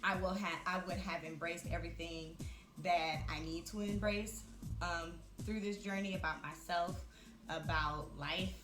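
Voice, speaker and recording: A woman in her mid-thirties speaks on a vlog in a reflective, chatty way, with fillers scattered through her thoughts. Her voice sits a little low for a woman. The room rings with echo, and music plays behind her. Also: fairly even intonation, measured pace. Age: 30s. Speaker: vlogger. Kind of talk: casual talk to camera.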